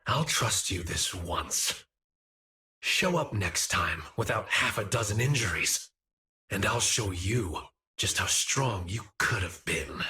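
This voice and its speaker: Game role: gruff, guarded male fighter, very husky and forceful, unhurried. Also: lowish voice, animated, exaggerated voice. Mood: curt, guarded. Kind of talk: video game voice acting.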